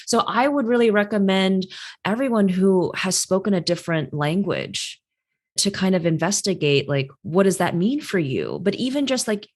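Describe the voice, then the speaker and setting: A woman whose first language is Chinese, hosting a casual interview and telling stories in an animated way, with many "like" fillers. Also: medium pitch, normal speed. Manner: animated storyteller, quotes people in lively voices. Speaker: host, career astrologer.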